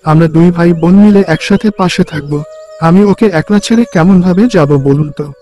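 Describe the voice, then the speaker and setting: A man's voice: sits high, moderate pace, mellow, faint music behind, close to an everyday voice. A man voicing an earnest, devoted young orphan brother in a cartoon story.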